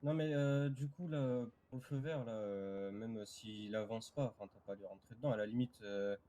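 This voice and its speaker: A man's voice: mid-range voice, normal speed, warm tone, a little hiss or hum. A man moderating a game dispute casually, asking questions with hesitant phrasing, over gaming voice chat.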